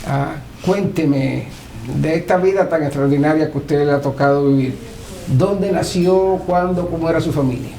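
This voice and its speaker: A man's voice: on the high side, moderate pace, mellow. A man conducting an oral history interview indoors, asking short, attentive questions. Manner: attentive, brief acknowledgements and prompts. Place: indoors at a private residence. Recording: echoey room pickup.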